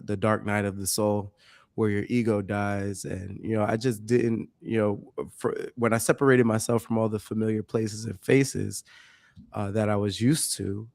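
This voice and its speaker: A man from Brooklyn hosting a casual interview, relaxed and reflective, and quick to laugh. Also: fairly low pitch, dry recording. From Brooklyn, New York. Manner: laid-back, laughs easily, reflective.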